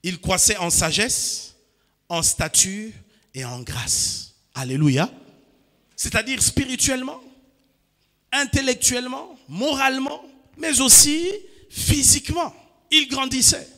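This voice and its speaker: A high-pitched, bright-voiced pastor from DR Congo preaches with fervor, drops to soft asides and then rises to ringing shouts that pull his listeners into call and response. His voice rings out through a church hall with a long reverb tail. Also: lively intonation, takes it slowly, long pauses. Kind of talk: sermon.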